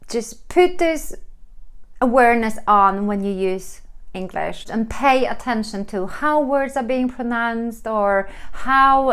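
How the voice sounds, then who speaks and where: A woman's voice: fairly high pitch, clear, resonant tone. A woman with a Polish accent gives encouraging workplace advice in her second language. She talks earnestly to the camera, restarting phrases and reaching for fillers as she goes. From Poland. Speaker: vlogger giving workplace advice. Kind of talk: direct-to-camera advice talk.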